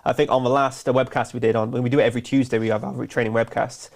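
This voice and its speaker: A man's voice: mid-range voice, flat delivery, vocal fry. A man co-hosting a casual business chat, talking in a chatty, easygoing way. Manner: chatty, quick, laughs easily. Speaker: co-host.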